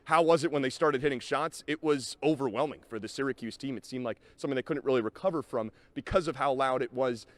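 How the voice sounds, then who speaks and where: A man working as a sports announcer and reporter, delivering highlights and reports in a punchy, emphatic broadcast style. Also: fast, hardly any pauses, a bit bright.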